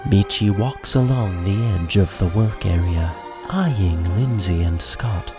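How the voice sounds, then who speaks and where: A man's voice: low-pitched, expressive, slow, music in the background. A man giving scripted, theatrical narration for a radio play.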